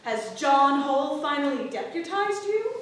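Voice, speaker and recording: An actress playing a sharp-tongued, bitter small-town wife in a staged reading of an English period play. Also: female voice, sits high, sing-song, a little slow, a little hiss or hum. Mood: sarcastic, accusing. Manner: sarcastic, bitter, needling. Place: indoor playhouse before an audience. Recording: very echoey theater, distant mic.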